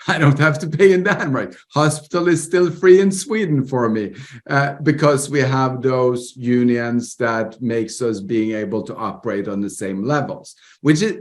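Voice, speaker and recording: Norwegian-accented male co-host, mid-pitched, earnest, explains at length, laughs easily. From Norway. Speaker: podcast co-host. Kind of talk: casual conversation.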